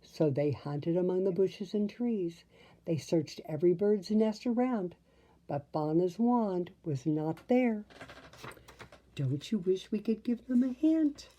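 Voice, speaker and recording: A woman reads a children's storybook at an unhurried pace. Her voice is very mellow and a little low, expressive in narration, with asides to the listener. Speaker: storybook reader.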